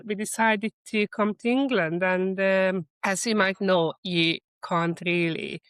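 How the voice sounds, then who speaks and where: A middle-aged woman speaks with a Hungarian accent at a medium pitch. She talks conversationally, like a guest being interviewed, and the sound is dry with very little room in it. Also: fairly even intonation, slow pace, clean tone, no room sound. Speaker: interview guest, marketing executive. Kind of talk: conversational interview, telling career story and advice.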